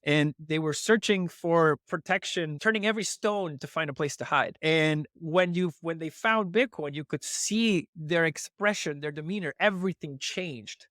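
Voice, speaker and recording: A man's voice: on the high side, fairly bright tone, no echo, clean studio sound. A man from Venezuela, a podcast guest telling his story earnestly in casual interview talk, with frequent restarts. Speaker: podcast guest, crypto company co-founder.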